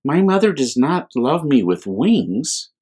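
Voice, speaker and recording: A man's voice: on the high side, sing-song, slow pace, a little mellow, husky, exaggerated voice. A man voicing a curious, innocent young polar bear cub in a children's storybook, with a wondering, questioning manner. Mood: pondering.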